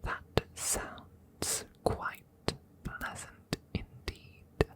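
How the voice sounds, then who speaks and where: A woman voicing a courteous, smitten female dragon in a hesitant, formal ASMR roleplay, whispering softly and breathily close to the microphone. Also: very slow. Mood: tender, intimate. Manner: hesitant, formal, old-fashioned phrasing with murmured repetitions.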